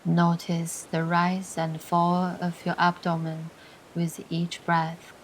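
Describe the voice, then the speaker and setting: A woman leading a scripted guided meditation in a soothing, deliberate way, pausing between short phrases. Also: lowish voice, completely flat delivery, a little hiss or hum, rough recording. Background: music.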